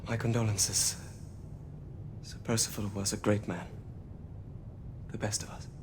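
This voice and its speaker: A man's voice: level tone, a little slow, lightly acted. An actor playing a deferential Victorian man in a video game, speaking politely, formally and with respect. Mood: respectful sympathy. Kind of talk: cinematic video game acting. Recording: low rumble noise behind voice.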